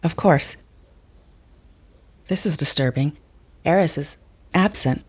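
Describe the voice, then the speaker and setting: A woman's voice: low-pitched, takes it slowly, a little mellow, husky, exaggerated voice. Composed, formal woman acted, serious. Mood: solemn. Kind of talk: audio drama acting.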